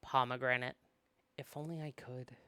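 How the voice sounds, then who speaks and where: A man's voice: medium pitch, fairly even intonation, normal speed, sharp tone, clean tone, dry recording. A man reading visual novel narration aloud in a dramatic storytelling style.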